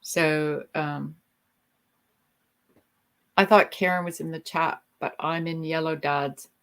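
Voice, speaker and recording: A woman's voice: low-pitched, fairly even intonation, takes it slowly. A middle-aged woman hosting a livestream, telling stories in a chatty, rambling way with frequent ums. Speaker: livestream host. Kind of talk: casual chat on a livestream.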